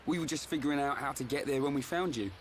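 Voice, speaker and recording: A man's voice: mid-range voice, quick pace, a little hiss or hum, lightly acted. An actor playing a big, blunt young male fighter with an informal manner and a British accent in a video game. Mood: casual, curious. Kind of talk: video game cutscene acting.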